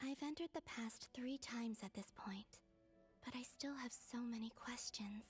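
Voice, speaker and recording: A gentle young moon maiden from a video game, voiced by a woman. Her high voice is soft and breathy, and she muses slowly over faint music. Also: close to an everyday voice. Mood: pensive. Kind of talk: video game voice acting, inner monologue.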